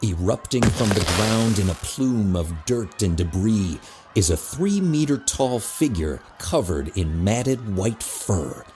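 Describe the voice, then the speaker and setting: A man's voice: fairly low pitch, expressive, takes it slowly, darkish tone, faint music behind. A man delivering dramatic scripted narration as the narrator of an audio drama.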